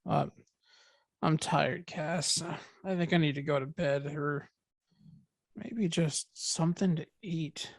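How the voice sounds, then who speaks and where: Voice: mid-range voice, takes it slowly. A performer reading the part of a weary, easygoing boyfriend in a script table read, with a casual delivery. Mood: tired. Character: Todd, weary easygoing boyfriend of a young witch.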